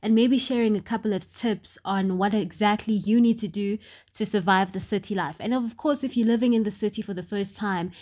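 An upbeat South African woman hosts her own podcast in an easy, chatty way. She sits in a comfortable mid-range pitch and keeps checking in with the listener. Her pauses fill with "um", and she drops in local township slang. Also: darkish tone. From Soweto, Johannesburg, South Africa. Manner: chatty, upbeat, often asks "right?" and adds "um". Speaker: podcast host. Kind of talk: casual solo podcast chat.

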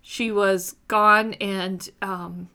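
A woman in her thirties hosting a casual podcast in a room with her sisters, earnest and quick to laugh, pausing with fillers as she thinks aloud. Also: mid-range voice, fairly even intonation, bright, forceful tone, clear, resonant tone. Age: 30s. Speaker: podcast host. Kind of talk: casual faith podcast chat.

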